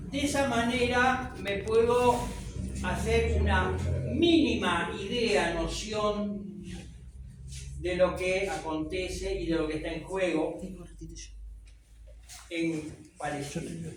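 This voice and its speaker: A man whose first language is French, giving a conversational public talk to an audience and asking them rhetorical questions. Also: sits high. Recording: echoey room from far off, faint noise.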